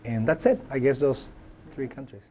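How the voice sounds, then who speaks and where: Chilean man with a mellow voice. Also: medium pitch, slight background noise. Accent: Chilean Spanish. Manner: thoughtful, halting, many fillers and restarts. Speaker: university professor. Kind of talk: answering interview questions.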